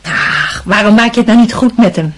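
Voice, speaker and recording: A woman playing a kind, middle-aged aunt who speaks in a gentle, coaxing way, in a radio play. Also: medium pitch, normal speed, close to an everyday voice.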